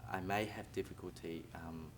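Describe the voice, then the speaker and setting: A man's voice: low-pitched, steady tone, normal speed, background noise. A man whose first language is Vietnamese, speaking hesitantly in English with many fillers in an interview. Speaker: community member. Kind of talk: casual interview.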